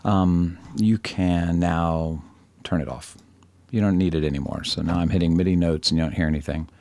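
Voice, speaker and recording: Low-voiced, gravelly man giving a tutorial. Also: fairly even intonation, moderate pace, a little mellow. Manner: informal, thinking aloud, self-deprecating. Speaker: audio software tutorial presenter. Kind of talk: casual screen-recorded tutorial.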